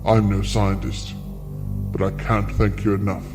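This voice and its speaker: A man's voice: lowish voice, flat delivery, measured pace, over music, lightly acted. A man playing an ancient alien prisoner in an audio drama, speaking formally with courtesy that covers menace. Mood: grateful.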